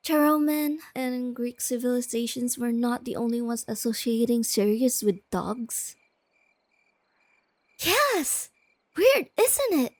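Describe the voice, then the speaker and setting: A woman playing a shy, nerdy, sweet young girlfriend in a soft-spoken, intimate ASMR roleplay. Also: sits high, no echo. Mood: shy, excited. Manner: gentle, intimate, enthusiastic about explaining facts.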